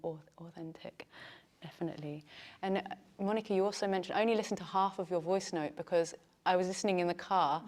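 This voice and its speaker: A woman teaching yoga teacher trainees, talking in a chatty way and laughing often. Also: fairly low pitch. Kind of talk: teaching a class.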